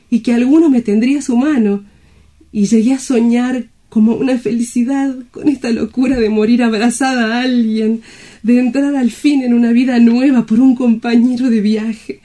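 A woman playing a lonely, despairing young woman in an audio drama, gentle, fearful and earnestly wistful. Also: a little high, lightly acted. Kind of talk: theatrical audio drama acting.

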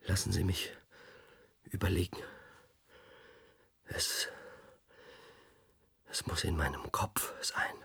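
Troubled, brooding physicist in a radio play. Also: male voice, low voice, steady tone, takes it slowly, vocal fry, pauses often, a bit bright, slightly rough, whispered, exaggerated voice. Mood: anguished, confused. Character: troubled male physicist, haunted and brooding, lecturing on particles. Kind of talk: German radio play acting.

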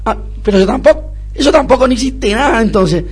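A man's voice: very high-pitched, expressive, a little fast, darkish tone, breathy edge, heavily acted. A man playing a spoiled, snobbish young Argentine businessman in a radio comedy-drama, whining, stammering and repeating himself. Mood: exasperated, whiny. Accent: Argentine (Rioplatense).

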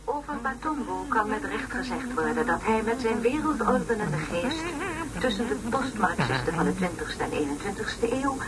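A woman's voice: mid-range voice, very animated, moderate pace, close to an everyday voice. An actress playing a pompous, long-winded woman lecturer on an educational tape in a radio play, speaking in solemn, over-articulated phrases. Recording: noisy, distant old radio play recording.

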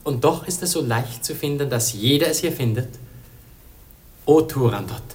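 An actor playing a proud young prince in love, declaiming with passion in a German radio drama. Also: male voice, mid-range voice, expressive, normal speed, a little mellow, close to an everyday voice. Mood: ardent. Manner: declamatory, impassioned.

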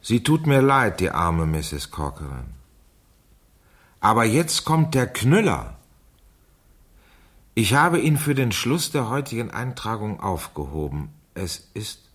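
An actor playing a man who reads a diary aloud in a radio crime drama, deliberate and precise, with a husky voice. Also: on the low side, sing-song, fairly bright tone, heavily acted. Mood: halting, suspenseful. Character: measured man reading a girl's diary aloud.

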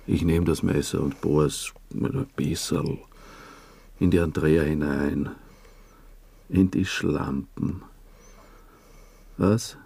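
An actor playing a menacing, taunting police inspector in a radio drama, speaking with an Austrian accent in an insinuating, domineering way. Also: male voice, sits low, vocal fry, a little mellow, slightly rough, no echo, exaggerated voice.

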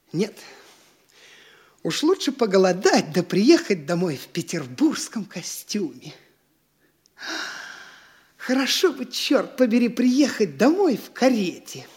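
An actor playing a boastful, whining, penniless young dandy in an audio drama, theatrical and excitable, by turns wheedling and petulant. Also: male voice, sits high, husky, exaggerated voice.

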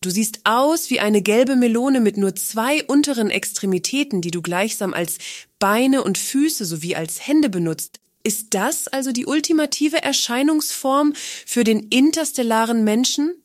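An earnest, curious Earthling woman played by an actress in a satirical radio play. Her voice is on the high side and theatrically articulate. The sound is close, with no room echo. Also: unhurried, lightly acted. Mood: puzzled. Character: curious, earnest female Earthling questioning an alien.

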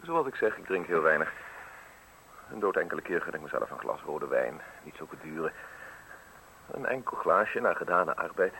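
A man's voice: sits low, sing-song, moderate pace, gravelly, pushed and bright, full character voice, low-quality recording. An actor playing a polite, formal man on a secretive errand in a Dutch radio play. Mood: deferential, fussy. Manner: overly polite, fussy, precise. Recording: hissy old radio drama recording.

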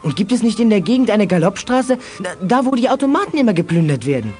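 A man's voice: sits high, very animated, fast, darkish tone, faint music behind. A young male actor playing a cheerful, clever schoolboy in a children's detective radio play. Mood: excited, puzzling out.

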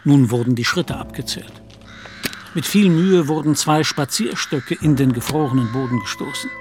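A man's voice: fairly high pitch, lively intonation, moderate pace. A man narrating a German radio play in a scripted, literary storytelling style. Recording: music behind.

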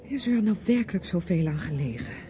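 An actress playing a reluctant, dutiful young niece in a radio drama, speaking politely and hesitantly. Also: female voice, lowish voice, animated, a little fast, natural voice. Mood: hesitant, questioning. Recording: dull, hissy old radio play recording.

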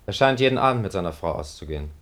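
A grave, low-pitched priest held captive, in the manner of 1950s German radio drama. He is earnest and melancholy, setting out each phrase with reflective care. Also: lively intonation, very fast, a bit bright. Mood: subdued, probing.

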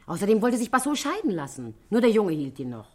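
An actress playing a brash, indignant widow who is quick to scold, in a theatrical 1960s German radio play style. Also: female voice, medium pitch, very animated. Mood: indignant, scornful.

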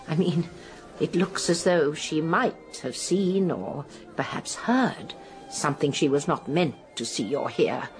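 An actress playing a shrewd, elderly English spinster sleuth in a radio drama, musing and precise in manner. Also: female voice, low voice, a little slow, faint music behind. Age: older.

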